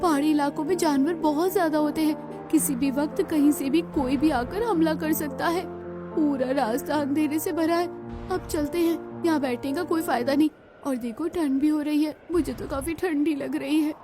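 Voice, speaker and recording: A woman's voice: high voice, fairly even intonation, moderate pace, over music, natural voice. A woman voicing a frank young village college girl, the sister-in-law in a cartoon story. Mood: warning.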